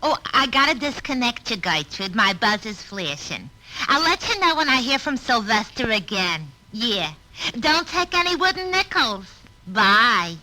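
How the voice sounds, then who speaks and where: A woman's voice: on the high side, very animated, unhurried. A woman playing a chatty, naive switchboard operator, gossiping brassily in a vintage radio comedy. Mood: dreamy, naive. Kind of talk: 1950s radio comedy acting. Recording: old radio recording, faint noise.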